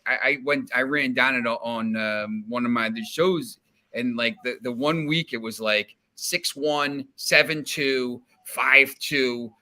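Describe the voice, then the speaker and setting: A man from New Jersey chats loosely on a betting show, his voice bright and forward. He circles back on himself, restarting sentences and stacking fillers as he talks. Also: medium pitch, a little slow. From New Jersey, USA. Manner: rambling, many restarts and fillers. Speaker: sports betting analyst guest. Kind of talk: casual podcast chat.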